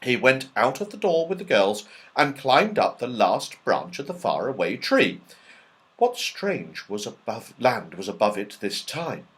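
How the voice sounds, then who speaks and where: A man narrating a children's storybook in an expressive, dramatic way. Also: mid-range voice, unhurried. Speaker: audiobook reader.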